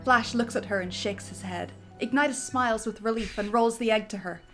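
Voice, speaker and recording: Lady narrator doing a dramatic script read, high n bright voice, theatrical, bit of music behind. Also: a little fast. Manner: theatrical, breaks easily into giggles. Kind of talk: lively comedic dramatic narration of a film script.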